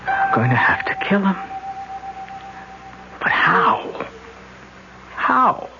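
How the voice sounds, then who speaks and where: An actor playing a scared young man in debt, speaking slowly and haltingly in a 1970s radio drama. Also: high voice, sing-song, creaky, full character voice. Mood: panicked. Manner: hesitant, frightened, slow. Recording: dull old radio broadcast with music.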